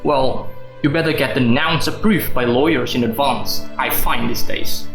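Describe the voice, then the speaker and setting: A man's voice: mid-range voice, moderate pace, close to an everyday voice. A man playing a sardonic, defensive suspended office worker in a radio drama, wordy and sarcastic. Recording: roomy echo, music underneath.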